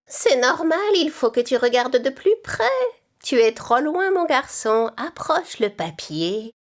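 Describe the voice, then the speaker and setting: A woman's voice: high-pitched, sing-song, unhurried. A woman playing a gleeful wicked witch who poses as a frail old lady, theatrical and falsely sweet, in a children's cartoon. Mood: feigning frailty.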